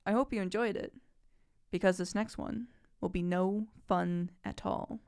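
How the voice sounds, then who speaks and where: A darkly playful story host played by a woman. Her mid-pitched voice is clear with some fry, dry in sound, delivering mock-ominous scripted banter. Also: fairly even intonation, unhurried, darkish tone, no echo. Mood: teasing, ominous. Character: darkly playful female host introducing a thriller.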